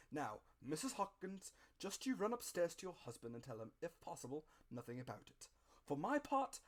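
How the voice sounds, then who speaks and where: Country doctor for a storybook, acted by a male reader: brisk, authoritative, scornful, blunt and emphatic. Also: on the high side, pitched above the performer's own voice.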